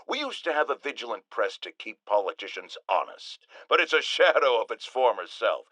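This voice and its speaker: An actor playing a pompous, outraged middle-aged radio host from a video game, ranting in an emphatic, sarcastic, theatrical way. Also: male voice, mid-range voice, sing-song, moderate pace, bright, forceful tone, no room sound. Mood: grave, measured.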